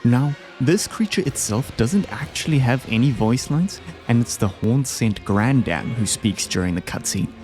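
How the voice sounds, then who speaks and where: Lively man doing casual video commentary. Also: medium pitch, moderate pace, few pauses. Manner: informal, slangy. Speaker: video host ranking game bosses. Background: background music.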